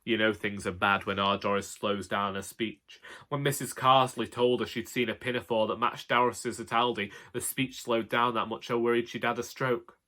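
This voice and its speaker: Northern english bloke reading a funny audiobook, wry and chatty, bright crisp-edged voice. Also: male voice, on the low side, little pitch movement, moderate pace. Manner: wry, colloquial. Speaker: audiobook narrator. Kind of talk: comic first-person storytelling.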